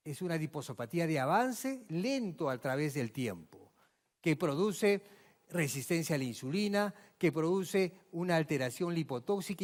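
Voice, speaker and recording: A man from Peru delivers a medical lecture in a large hall, his voice a bit higher than most men's and trailing long reverb. He talks emphatically and asks the audience questions. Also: a little mellow. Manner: emphatic, rhetorical questions, addresses audience directly. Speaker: physician lecturer.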